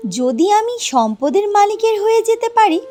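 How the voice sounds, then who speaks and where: A woman's voice: very high-pitched, very animated, moderate pace, warm tone, faint music behind, pitched above the performer's own voice. A woman voicing a humble, devoted maidservant in a storybook cartoon. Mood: earnest, devoted.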